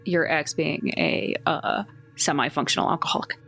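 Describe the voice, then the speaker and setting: A woman's voice: sits low, fairly even intonation, moderate pace, a bit bright, soft background music. A woman playing a tabletop game, talking casually in character with a blunt, wry, informal manner.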